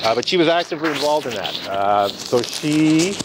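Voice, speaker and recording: A man protesting outdoors, talking in an animated, indignant way and laughing often. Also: on the high side, normal speed. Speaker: street protester. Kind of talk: casual outdoor conversation. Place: outdoors on a city street. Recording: outdoor traffic noise.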